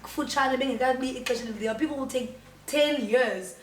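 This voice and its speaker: A woman's voice: sits high, unhurried, slight background noise. Bubbly young South African woman, echoey room. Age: 20s. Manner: bubbly, laughs often. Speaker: interview guest. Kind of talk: casual interview chat. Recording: echoey room, mic at a distance.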